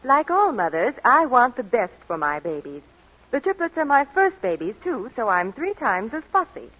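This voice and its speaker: Lively, husky woman in radio ad. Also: a little high, moderate pace. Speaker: radio commercial presenter. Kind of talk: 1940s scripted radio commercial. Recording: muffled 1940s radio broadcast.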